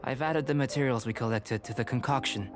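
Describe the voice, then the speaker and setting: A man voicing a calm, cerebral young alchemist scholar in a video game, measured, formal and coolly detached. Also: medium pitch, lightly acted. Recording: music behind the voice.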